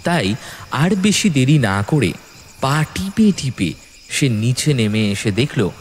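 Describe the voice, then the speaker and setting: A man's voice: medium pitch, very animated, slow, slight background noise. A man narrating a ghost story as a scripted audio drama, in a dramatic storytelling style. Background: background music.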